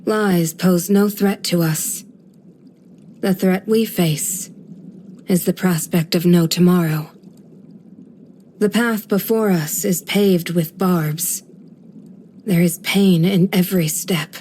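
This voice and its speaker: A woman voicing a serene, kindly guardian of a desert village in a video game, with a gentle, measured, formal storytelling delivery. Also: fairly low pitch, steady tone, slight background noise. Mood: solemn, wistful. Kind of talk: scripted video game character voice lines.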